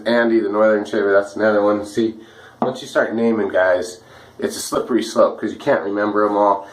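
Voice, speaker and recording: A man with a slightly rough, dry voice, chatting casually and a bit ramblingly while he shaves at home for his vlog. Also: lowish voice, rough recording. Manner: easygoing, rambling, many fillers and restarts. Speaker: wet shaving vlogger. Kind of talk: casual talk while shaving.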